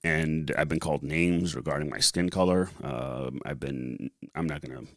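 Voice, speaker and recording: A man telling his personal story casually as a podcast co-host, halting often as he gathers his thoughts. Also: a bass voice, no echo, studio quality. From born in Liberia, later raised in the US, of Lebanese family. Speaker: podcast co-host and article writer.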